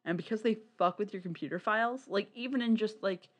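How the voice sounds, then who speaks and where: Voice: medium pitch, fast. A podcast co-host chatting casually and swearing freely about a hobby. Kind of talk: casual podcast chat about visual novels.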